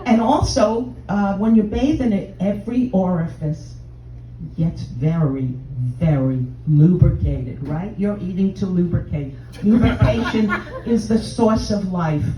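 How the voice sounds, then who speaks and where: A woman's voice: measured pace, mellow. Brash older Texan woman on stage. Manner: brash, bawdy, chatty sales pitch. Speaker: stand-up comedian in a sex-doctor persona. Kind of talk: stand-up routine, addressing audience members. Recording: roomy, distant, dull live room sound.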